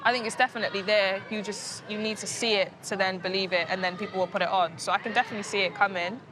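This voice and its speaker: A woman's voice: medium pitch, fairly even intonation, fast. A young woman from Cardiff with a Cardiff accent, a rapper giving a casual interview and thinking aloud with fillers and restarts. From Wales. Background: music at an outdoor festival.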